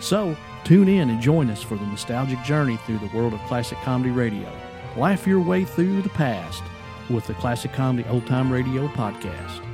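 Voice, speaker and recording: Smooth podcast intro read by a man, middle pitch, music bed. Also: expressive, normal speed. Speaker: podcast host.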